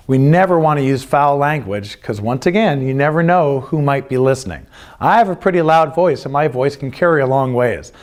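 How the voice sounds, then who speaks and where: A middle-aged American man giving an earnest, plain-spoken instructional presentation as a youth sports coach, stressing and repeating his key points. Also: medium pitch. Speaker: youth lacrosse coach and club representative. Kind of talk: instructional presentation of club rules.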